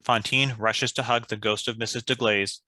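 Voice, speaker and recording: Casting: male scripted narrator, crisp bright tone, slightly low, dry recording. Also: steady tone, normal speed, dry recording. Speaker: stage-direction narrator.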